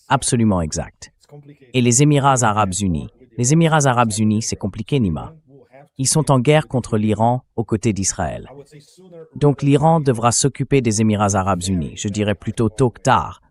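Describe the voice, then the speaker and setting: A man's voice: medium pitch, a little mellow. A man giving opinionated political commentary as an interview guest, emphatic and fond of rhetorical questions, in a synthetic translated dub.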